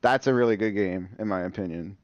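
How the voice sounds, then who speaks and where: A man's voice: lowish voice, fast talker, no echo. Dull-sounding dry recording, rambling casual man. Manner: informal, sweary, rambling with repeated words. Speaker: podcast co-host. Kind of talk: casual chat about TV and games.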